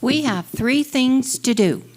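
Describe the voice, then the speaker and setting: Slow, higher-voiced woman reciting. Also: lively intonation, a little mellow. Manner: measured, deliberate phrasing. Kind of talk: slow dramatic poetry reading. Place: church service.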